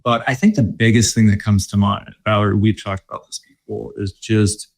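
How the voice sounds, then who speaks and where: A man from Michigan speaking as a guest in a casual interview, relaxed and thoughtful, with many fillers and restarts. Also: lowish voice, normal speed, gravelly. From Michigan, USA. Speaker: guest, corporate mobility director.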